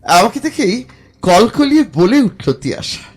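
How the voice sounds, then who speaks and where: A man playing a haunted, distressed narrator of a first-person horror audio drama, halting and repeating his phrases. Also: high-pitched, very animated, faint music behind, heavily acted. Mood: uneasy, recollecting.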